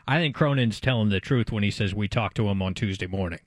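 A man's voice: on the low side, fast talker, dry recording, clean studio sound. A man co-hosting a sports talk show in a casual, conversational style.

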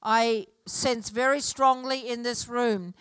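Slow, emphatic woman preacher. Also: fairly high pitch, fairly even intonation, fairly bright tone, smooth, no echo. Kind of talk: sermon. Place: church hall.

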